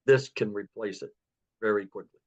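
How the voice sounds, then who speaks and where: A man's voice: normal speed, slightly rough. A man giving an earnest, persuasive webinar testimonial over a video call. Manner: earnest, persuasive sales pitch. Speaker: guest speaker. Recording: muffled lo-fi online call audio.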